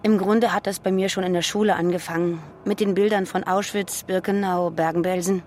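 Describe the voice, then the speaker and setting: A woman's voice: lowish voice, fairly even intonation, a little fast, a little mellow, close to an everyday voice. Woman acting a wary west german radical, defiant and terse, radio play, bit of traffic noise behind. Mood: guarded, confessional. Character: guarded, militant West German woman living underground.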